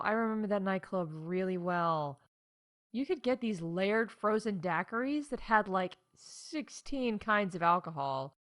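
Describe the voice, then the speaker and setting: A woman's voice: fairly low pitch, fairly bright tone. A woman playing a chatty, snarky announcer for a space theme park in a comedic audio show, reading scripted updates with sarcastic, candid asides. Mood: wry, distracted. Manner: wry, sarcastic, breaking off into asides.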